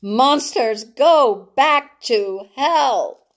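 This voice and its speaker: A woman's voice: high-pitched, lively intonation, drawn-out words, pitched above the performer's own voice, full character voice. Woman reading as a defiant, hot-tempered young girl. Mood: furious defiance. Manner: emphatic, frightened, shouting at enemies.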